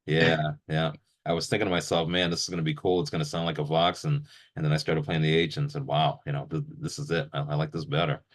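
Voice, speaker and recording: Really deep voiced guy doing a casual interview, agreeable, repeats himself a bit. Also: little pitch movement, quick pace, hardly any pauses. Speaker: interviewer, gear show host.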